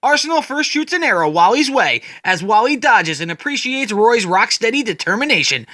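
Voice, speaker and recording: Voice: medium pitch, very animated, moderate pace, sharp tone, no echo. A person giving a dramatic, scripted narration as a storyteller, retelling comic book scenes in the present tense.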